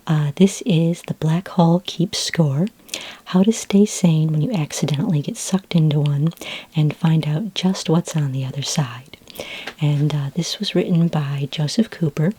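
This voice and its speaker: A woman speaking in a soft, breathy, whispery voice for an ASMR roleplay, playing a friendly, patient librarian who talks gently, helpfully and without hurry. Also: sits low, dry recording. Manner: gentle, unhurried, helpful, conversational. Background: computer keyboard typing.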